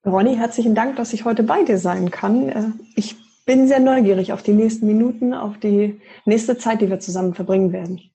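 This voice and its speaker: A middle-aged woman from Berlin with a mellow, husky voice talks in a measured, articulate way. She answers questions as an interview guest, explaining her work as a leadership coach with calm, steady confidence. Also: mid-range voice. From Berlin, Germany. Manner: measured, articulate, occasional fillers. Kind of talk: conversational interview.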